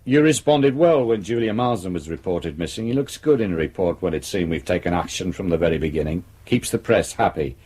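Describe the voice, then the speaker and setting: A brusque, domineering police chief. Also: male voice, low voice, lively intonation, brisk, few pauses, mellow, husky. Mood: brisk, businesslike. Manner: brusque, authoritative, threatening. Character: domineering senior police detective in charge of murder case. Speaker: senior detective. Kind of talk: radio drama acting.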